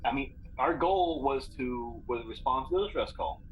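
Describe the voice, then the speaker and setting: Guy chatting as a game player, average pitch, some noise in the recording. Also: lively intonation, moderate pace, highs cut off. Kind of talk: casual game chat.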